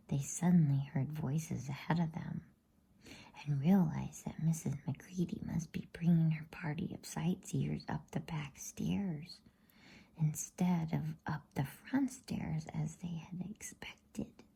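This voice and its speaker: A woman reads a bedtime story in a soft ASMR whisper. Her voice is very breathy and low, with a mellow tone. She takes her time, soothing and hushed. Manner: soothing, hushed, drawn-out delivery.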